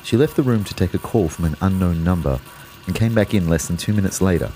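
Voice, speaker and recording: Actor as low-voiced, reflective young boyfriend. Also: male voice, fast talker, dark tone, soft background music, lightly acted. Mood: sombre, reflective. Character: reflective, concerned young boyfriend, a fitness fanatic. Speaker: narrator and lead character. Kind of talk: first-person audio drama narration and dialogue.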